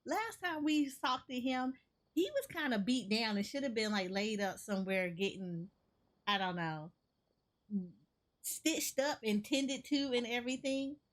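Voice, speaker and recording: A woman streamer with a fairly high, very lively voice reacts to a game. She chats casually and sassily, talking straight back at the characters. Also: unhurried. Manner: animated, sassy, talks back at the characters. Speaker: gaming streamer reacting to a story quest.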